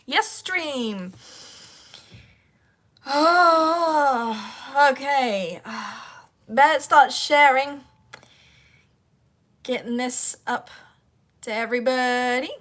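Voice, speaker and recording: High, slow-talking hoarse woman. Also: fairly bright tone. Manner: rambling, talks to herself while fighting tech. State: hoarse, sore throat. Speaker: voice actor hosting a livestream Q&A. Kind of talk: casual livestream chat.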